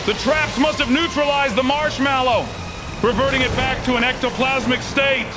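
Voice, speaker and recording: A man's voice: very high voice, fairly even intonation, moderate pace, background noise. A man playing a brainy, literal-minded ghost-hunting scientist in a motion comic, technical and matter-of-fact. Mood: intrigued. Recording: loud music behind.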